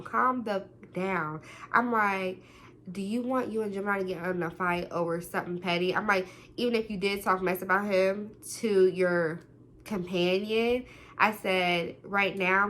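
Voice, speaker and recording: Brief: young woman, naturally loud, animated storyteller, acts out quotes, light background hiss. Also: mid-range voice. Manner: loud, animated, quotes people in different voices. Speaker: vlogger telling a story. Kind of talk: casual storytime monologue.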